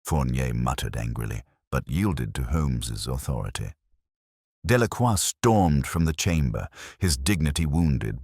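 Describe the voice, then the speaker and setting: Very deep male mystery narrator. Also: a little mellow, no room sound, clean studio sound. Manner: measured, formal storytelling. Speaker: audiobook narrator as Dr Watson. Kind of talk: scripted dramatic mystery narration.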